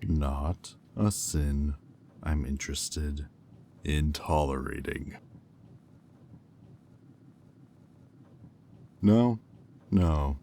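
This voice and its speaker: A man playing a haughty, sardonic demon bidder in an audio roleplay, speaking with condescension and deliberate pauses. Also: extremely low pitch, vocal fry, dark tone, a little hiss or hum, exaggerated voice. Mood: skeptical, menacing.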